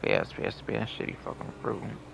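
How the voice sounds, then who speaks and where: A man live-streaming a game, grumbling and swearing casually, often repeating himself as he mutters along. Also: normal speed. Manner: foul-mouthed, grumbling. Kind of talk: casual live gameplay commentary. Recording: loud noise behind the voice.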